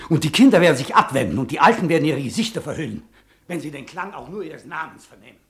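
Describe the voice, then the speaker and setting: A man's voice: on the high side, expressive, fast talker, fairly bright tone. A bitter, unforgiving man. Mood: solemn, condemning. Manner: solemn, bitter, rising to outrage. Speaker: former friend condemning the doctor. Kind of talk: 1950s radio play acting.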